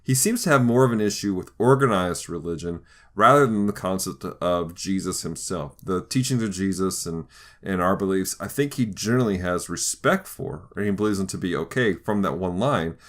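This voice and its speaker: A man hosting a conversational podcast, talking through ideas in a thoughtful, halting way with frequent restarts. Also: sits low, expressive. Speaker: podcast host. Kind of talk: conversational podcast discussion of faith and pop culture.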